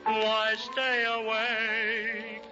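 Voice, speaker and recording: A woman's voice: on the high side, monotone, drawn-out words, over loud music. A woman singing 1930s popular songs as an amateur on an old radio show. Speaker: amateur singer. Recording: muffled 1930s radio recording. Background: loud musical accompaniment.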